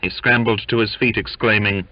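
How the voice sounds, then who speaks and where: A man narrating a literary audiobook in a measured, expressive storytelling manner, in dull, muffled lo-fi audio. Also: on the low side, no echo.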